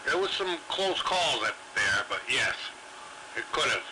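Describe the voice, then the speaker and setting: A man's voice: medium pitch, sing-song, a little slow. A man chatting casually over CB radio, swearing freely and often doubling back on his phrases. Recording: very noisy CB radio transmission.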